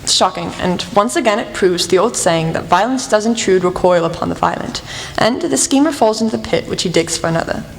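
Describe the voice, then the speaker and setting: A woman's voice: medium pitch, expressive, a little fast, creaky. A woman playing a commanding, shrewd female detective in a radio play, speaking in a stern, formal way. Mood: grave. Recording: long reverb, music underneath.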